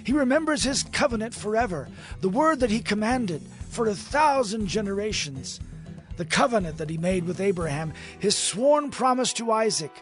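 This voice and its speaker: A man narrating a dramatized Bible reading in an expressive, measured way. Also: high-pitched. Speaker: scripture narrator. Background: soft music.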